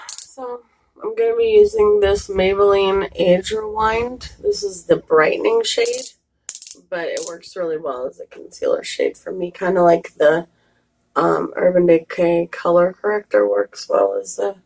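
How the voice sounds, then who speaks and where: A woman with a scratchy, slightly hoarse voice, chatting casually through a makeup tutorial with rambling asides and fillers. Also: medium pitch, animated, measured pace, darkish tone. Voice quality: scratchy, raspy voice. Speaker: makeup vlogger.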